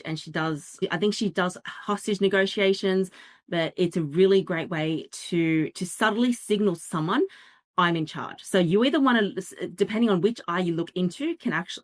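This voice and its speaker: A woman presenting a dating workshop, explaining in a chatty way with quick asides and little acted-out quotes. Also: on the low side. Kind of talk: interactive workshop talk.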